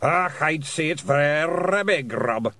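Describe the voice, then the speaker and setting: A little Scottish pixie bard. He is polite and over-formal, weighing each phrase with great precision. The delivery is slow, raised in pitch and full of swoops. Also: animated, bright, forceful tone, no room sound, heavily acted. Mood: measured, polite. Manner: deliberate, over-formal, drawn out.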